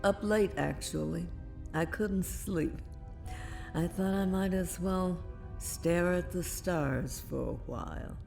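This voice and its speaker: An older female starship captain in a science fiction audio drama. She is reflective and weary, with an unhurried delivery and fry in her voice. Light music plays underneath. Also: fairly low pitch, dark tone. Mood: weary, subdued.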